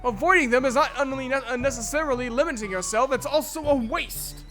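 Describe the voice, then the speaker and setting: A woman's voice: high-pitched, a little fast, over music, lightly acted. A woman voicing a reserved, proud, bookish teenage girl in an anime visual novel fandub, speaking in a formal, precise, defensive way. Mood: insistent, defensive.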